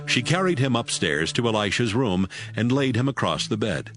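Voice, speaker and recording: A man's voice: medium pitch, lively intonation, moderate pace, fairly bright tone, soft background music. A man narrating a dramatized scripture audiobook in a scripted storytelling style.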